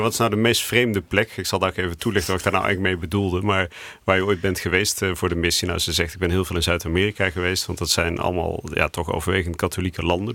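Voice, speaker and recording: A man's voice: sits low, creaky, a bit bright, no echo. A man with a Dutch accent hosting a casual radio interview in an informal, chatty way. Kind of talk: casual conversational interview, translating for listeners.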